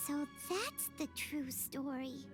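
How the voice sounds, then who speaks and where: Squeaky, very high childlike fairy. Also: female voice, animated, slow pace, music in the background. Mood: subdued. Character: tiny childlike fairy companion, cheeky and blunt. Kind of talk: video game voice acting.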